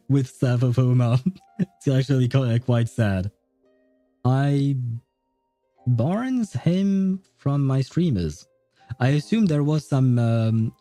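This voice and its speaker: A man with a soft, mellow tone chats casually to his stream viewers. His phrasing is halting and chuckly, and the close, dry sound sits over background music. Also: mid-range voice, no room sound. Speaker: game livestreamer.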